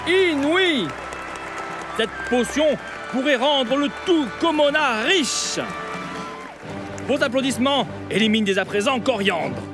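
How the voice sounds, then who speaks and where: Man acting high-pitched, pompous old cartoon mayor. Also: lively intonation, over music, crowd noise, full character voice. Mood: excited. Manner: grandiose, drawn-out announcer delivery. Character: pompous older male town mayor hosting a contest. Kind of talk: theatrical cartoon announcing in French.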